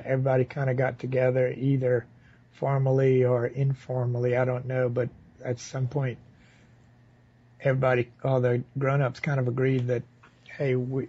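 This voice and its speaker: An American man from southern Louisiana with a dark, slightly husky voice. He talks casually and haltingly, circling back as he recollects. The delivery stays quite even in pitch, on a slightly dull recording. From southern Louisiana, United States. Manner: halting, rambling, informal. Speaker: interviewee.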